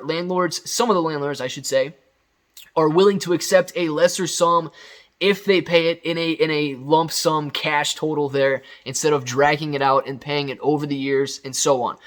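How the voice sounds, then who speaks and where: A man hosting a casual, off-the-cuff news recap, talking straight to viewers in an emphatic, enthusiastic, conversational way. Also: a little high. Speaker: video host recapping company news.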